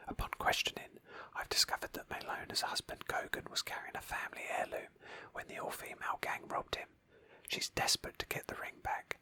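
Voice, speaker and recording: A woman whispering gently as she narrates an ASMR roleplay of a video game in a storytelling manner. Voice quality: breathy whisper. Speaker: host of a roleplay game playthrough.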